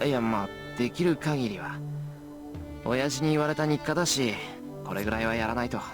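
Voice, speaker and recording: A man's voice: mid-range voice, racing, natural voice. A man playing an earnest, modest teenage apprentice mage in a Japanese visual novel. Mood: casual, brushing off. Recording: game music behind the voice.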